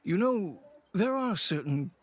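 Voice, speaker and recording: An actor voicing a confident adult man in a video game, dry and teasing in a measured way. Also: high voice, very animated, no room sound, heavily acted. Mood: wry. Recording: game audio with faint music.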